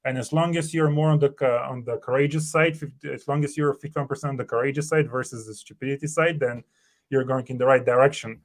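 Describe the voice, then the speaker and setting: A man's voice: mid-range voice, steady tone, fast talker. A man in his thirties whose first language is Bulgarian, an earnest startup co-founder thinking aloud with many fillers and restarts on a video call from home. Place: home room.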